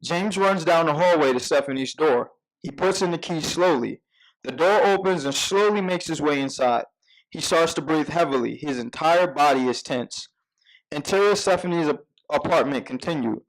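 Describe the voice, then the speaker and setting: A man reading scripted stage directions as narrator at a live table read, measured with occasional stumbles. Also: a little high, rough recording.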